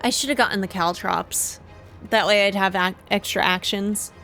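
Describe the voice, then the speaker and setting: A woman's voice: medium pitch, fairly even intonation, moderate pace, pushed and bright. A woman livestreamer giving casual play-along commentary on a game. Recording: stream voice over game music. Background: game music.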